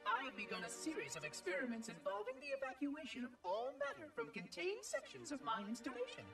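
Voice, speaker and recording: A fussy artificial caretaker from a video game, high-pitched and wildly sing-song. The diction is formal, verbose and precise, like an eccentric machine reciting its logs, with music playing behind. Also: female voice, sing-song, fast, reverberant, distant mic. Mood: clinically curious. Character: eccentric robotic installation monitor, fussy, bored, verbose. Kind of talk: scripted game cutscene monologue.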